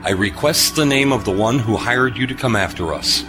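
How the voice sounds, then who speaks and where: A man playing a wry, composed spacefarer who speaks gravely and knowingly in a sci-fi audio drama. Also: mid-range voice, lively intonation, normal speed, over music, natural voice. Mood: firm, formal.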